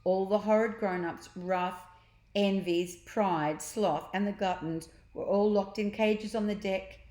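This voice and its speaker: A woman's voice: mid-range voice, steady tone, unhurried, mellow. Female storyteller for young children, mid-range voice, explains as she reads, recorded in a reverberant room. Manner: explains pictures and words to children. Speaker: storyteller reading a children's book aloud. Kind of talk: read-aloud storytelling with asides to young listeners. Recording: roomy, echoing sound.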